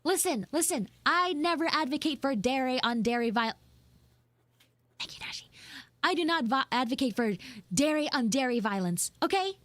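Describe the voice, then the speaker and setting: A woman voicing a defensive, flustered tsundere anime schoolgirl who acts mean but is secretly soft, in an improvised livestream roleplay. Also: sits high, lively intonation, no echo. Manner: defensive, pouty, halting and repetitive. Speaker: livestreamer and VTuber voice actress. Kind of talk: improvised live audio roleplay with chat asides.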